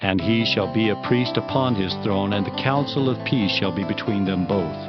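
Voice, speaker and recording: A man's voice: fairly low pitch, normal speed. Declamatory male scripture narrator over music. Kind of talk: dramatized King James Bible reading. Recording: slightly muffled, prominent music underneath.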